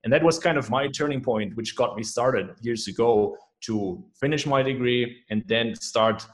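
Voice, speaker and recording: A man's voice: medium pitch, moderate pace. A young adult man with a German accent, a guest chatting casually and enthusiastically on a podcast. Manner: upbeat, enthusiastic, repeats "man" and "right?". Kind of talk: casual interview chat.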